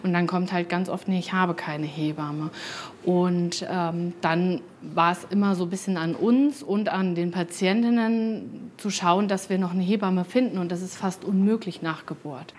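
A woman's voice: fairly low pitch, fairly even intonation, moderate pace, a little hiss or hum. A woman who works as a nurse on a maternity ward, speaking matter-of-factly in an interview.

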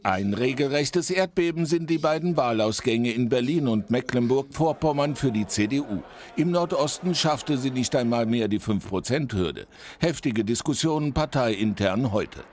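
A man narrating a TV news report in a steady, scripted voice-over. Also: mid-range voice, moderate pace.

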